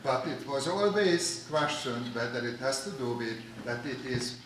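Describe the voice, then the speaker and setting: A man's voice: mid-range voice, expressive, unhurried, fairly bright tone. Hungarian man, audience questioner, far from the mic in a big reverberant hall with background noise. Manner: long, detailed technical questioning. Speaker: audience member asking a question. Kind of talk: conference Q&A question. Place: large conference hall. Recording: echoey hall, distant mic, noisy.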